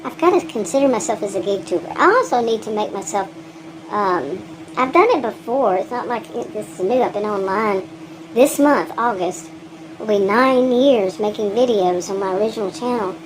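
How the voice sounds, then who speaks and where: A woman's voice: mid-range voice, animated, moderate pace, noisy background. A woman with a thick Texan accent, vlogging in a rambling, frank monologue, often laughing and restarting her sentences. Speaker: gig delivery vlogger.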